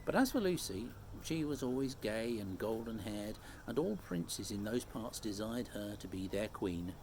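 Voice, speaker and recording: Animated male storybook reader. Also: on the low side, unhurried. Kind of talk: expressive scripted story narration. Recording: faint background noise.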